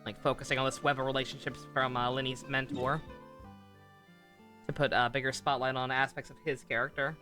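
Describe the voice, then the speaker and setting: A man's voice: medium pitch, level tone, fairly quick, over music. A man giving rambling, enthusiastic commentary as a casual game streamer.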